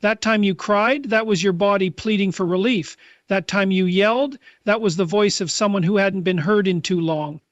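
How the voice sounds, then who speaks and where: A man delivering a scripted motivational speech, speaking earnestly and in measured phrases straight to the listener. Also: sits high, no room sound, highs cut off.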